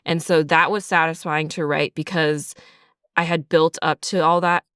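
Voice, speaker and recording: Woman writer chatting in an interview, smooth clear voice, kinda low, thinks out loud. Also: fairly even intonation, moderate pace, no room sound, clean studio sound. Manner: reflective, thinks aloud with frequent "like" and "sort of". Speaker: writer and creator of an audio drama, guest. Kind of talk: casual behind-the-scenes interview.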